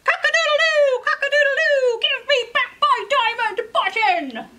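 Shrill, crowing little storybook rooster. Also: female voice, noisy background. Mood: defiant crowing. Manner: loud, chanting, drawn-out calls. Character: bold, defiant little rooster crowing and chanting. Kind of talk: dramatic children's storybook reading.

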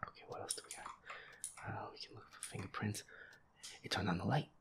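A man plays a horror game and thinks aloud in a hushed whisper. His low voice often crackles into fry. He mutters, repeats his phrases and swears freely. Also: fairly quick. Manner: muttering, profane, repeating himself. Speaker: gamer playing a horror game. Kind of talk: hushed solo gameplay chatter, thinking aloud.

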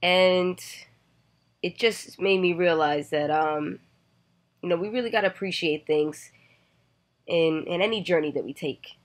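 A woman's voice: fairly low pitch, a little slow, pauses often. A woman in her thirties telling a personal story to her webcam from a bedroom, earnest and reflective, with many "you know" fillers. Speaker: vlogger. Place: bedroom, indoors. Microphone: webcam at desk distance.

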